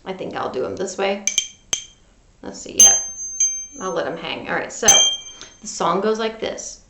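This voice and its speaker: A woman teacher talking directly to young children in an animated, conversational read-aloud lesson style. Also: medium pitch, a little slow, vocal fry, very noisy. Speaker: music teacher and storyteller for children. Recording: roomy, echoing home recording.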